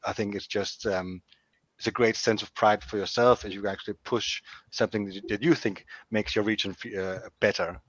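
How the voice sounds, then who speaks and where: Young Danish panelist, twenties, lower voice, animated and frank, restarts often; muffled video-call sound. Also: male voice, no room sound. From Denmark. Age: 20s. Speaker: youth organization chair, panelist. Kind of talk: online panel discussion. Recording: dull dry video call audio.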